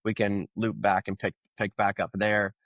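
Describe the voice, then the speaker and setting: A man in his thirties from Oklahoma, a podcast guest, telling his background in a relaxed, rambling way with plenty of fillers. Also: fairly low pitch, moderate pace, no room sound, muffled recording. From Oklahoma, USA. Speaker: guest, crypto founder. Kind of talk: casual conversational interview.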